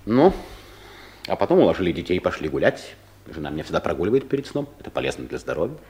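A man's voice: low voice, very animated, quick pace, a little mellow. A man playing a timid, awkward office clerk who turns stubbornly cheeky, in a comedic audio drama. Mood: boastful. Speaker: actor in a radio play.

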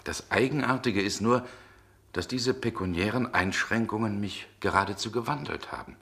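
An actor playing a brooding, world-weary middle-aged gentleman in a 1960s German radio drama, with genteel, measured diction. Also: on the low side. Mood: troubled.

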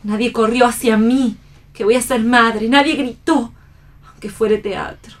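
Radio drama lead: weary, defiant actress played by a woman, higher-pitched voice, declamatory and despairing. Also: fairly even intonation, a little slow, close to an everyday voice. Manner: theatrical, declamatory. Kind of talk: read radio theatre.

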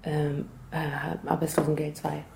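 A woman's voice: low voice, monotone, unhurried, vocal fry, darkish tone, a little hiss or hum, heavily acted. A woman in her twenties playing a hesitant unemployed actress in a naturalistic radio play, speaking plainly. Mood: hesitant, sceptical. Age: 20s. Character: hesitant unemployed young actress being questioned.

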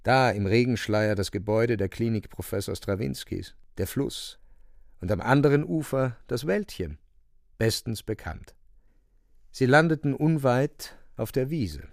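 Radio play narrator, male: solemn literary storytelling, creaky mid-range voice, very dry sound. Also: medium pitch, expressive, measured pace, no echo.

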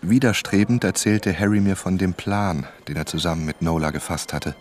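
A man's voice: sits low, steady tone, normal speed, darkish tone, a little hiss or hum, close to an everyday voice. An actor playing a troubled young novelist who narrates his own crime story in a radio play. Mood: grave, reflective. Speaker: narrator and lead character.

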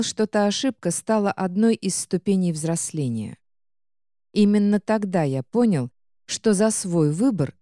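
A woman narrates a novel in a scripted audiobook style. Her voice sits fairly low, with a very soft, mellow tone. The recording is dry and close, with very little room sound. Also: no room sound. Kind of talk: scripted first-person fiction narration.

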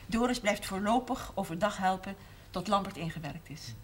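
An actress playing a middle-aged, emotional but steadfast mother in a 1970s TV drama, speaking in measured, earnest phrases. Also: mid-range voice, animated, close to an everyday voice. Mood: calm, resolute. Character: steadfast, emotional mother of a large Limburg family. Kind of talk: 1970s television drama acting. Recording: noisy old TV soundtrack.

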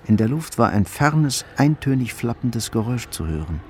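An actor playing the sober narrator of a radio crime drama, telling events in a measured, factual way. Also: male voice, mid-range voice, very animated, very noisy, lightly acted.

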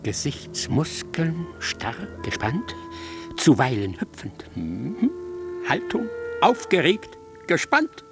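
An actor playing a cold, pedantic, gloating military doctor in a German radio drama, with a clipped clinical manner and a husky voice. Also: male voice, on the high side, wildly swooping pitch, slow pace, over music, full character voice.

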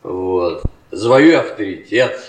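An actor playing a brash, ambitious young biology lecturer in radio theatre, insistent and given to emphatic repetition. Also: male voice, on the low side, expressive, takes it slowly. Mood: cocky scheming. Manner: insistent, repeats himself emphatically. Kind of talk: Soviet radio theatre acting. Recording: echoey stage recording, off mic.

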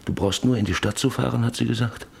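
An actor playing a guilt-ridden middle-aged husband, brooding and confessing in a German radio play monologue. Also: male voice, medium pitch, steady tone, fast, gravelly, breathy edge. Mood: bitter brooding.

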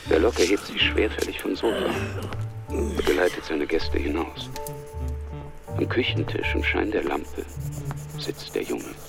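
A man narrating a radio drama in a hushed, atmospheric manner. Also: low-pitched, lively intonation, measured pace, gravelly, long pauses, over music, exaggerated voice.

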